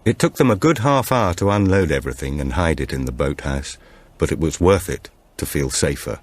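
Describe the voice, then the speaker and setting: A man's voice: rumbling low, lively intonation, a little fast. A man reading a suspense thriller as scripted audiobook narration.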